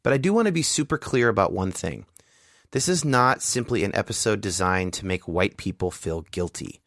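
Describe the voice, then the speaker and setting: A man's voice: on the low side, expressive, fairly bright tone, dry recording, clean studio sound. A man hosting a podcast, talking earnestly and carefully as he introduces the episode and interviews a guest. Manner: earnest, measured, explanatory. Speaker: podcast host.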